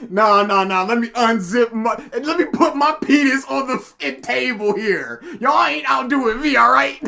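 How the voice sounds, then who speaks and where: A young man co-hosting a casual reaction commentary, laughing often and breaking into loud outbursts. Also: very high voice, moderate pace, creaky. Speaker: co-host reacting to a video.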